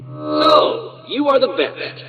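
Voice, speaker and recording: An actor playing an arrogant, condescending genius villain in a video game, with a pompous, sneering, wordy delivery. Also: male voice, extremely high pitch, big pitch swings, drawn-out words, dull audio, faint music behind, exaggerated voice. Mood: exasperated, sneering.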